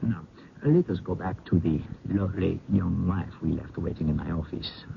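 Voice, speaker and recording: Deep, gravelly, deliberate doctor. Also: male voice. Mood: thoughtful. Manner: deliberate, halting, formal. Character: earnest, inquisitive doctor and medical examiner. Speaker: actor in a radio mystery drama. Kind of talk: 1970s radio drama acting. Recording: dull, noisy old radio broadcast.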